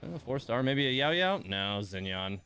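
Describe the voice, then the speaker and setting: Smooth-voiced man casually streaming a game. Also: medium pitch, animated, moderate pace, pushed and bright. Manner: wry, mildly grumbling, informal. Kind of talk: casual livestream commentary while playing.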